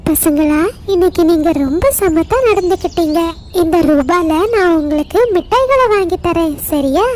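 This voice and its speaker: A woman's voice: very high voice, moderate pace, a little mellow, slight background noise. A woman voicing a kind, sensible mother bird in a children's cartoon. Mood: caring. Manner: caring, instructive. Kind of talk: children's cartoon story acting.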